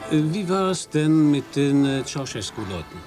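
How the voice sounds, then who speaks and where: A man's voice: on the high side, big pitch swings, normal speed. An actor playing a polite, slightly hesitant male American radio reporter who narrates a radio play. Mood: curious. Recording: radio play with music behind.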